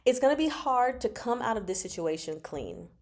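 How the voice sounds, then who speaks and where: A woman's voice: a little high, very animated, moderate pace. A woman hosting a livestream book discussion, talking in a casual, slangy, emphatic and teasing way, reacting expressively as she goes. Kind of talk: casual chatty book commentary with live chat.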